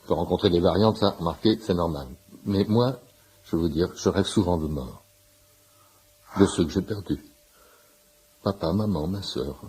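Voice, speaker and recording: A man's voice: deep voice, moderate pace, long pauses, a little mellow, a little hiss or hum, lightly acted. A man playing a talkative, nosy shopkeeper who eagerly explains homeopathy in a pedantic way, in a French radio play. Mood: confiding. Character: chatty, nosy shirt-shop owner, amateur homeopathy enthusiast in waiting room. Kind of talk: naturalistic French radio comedy-drama acting.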